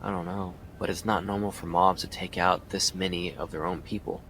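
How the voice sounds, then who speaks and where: An actor playing a weary, driven police detective who narrates his case in a brooding, deliberate way for an audio drama. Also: deep voice, fairly even intonation, fairly bright tone, a little hiss or hum. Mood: grave, puzzled.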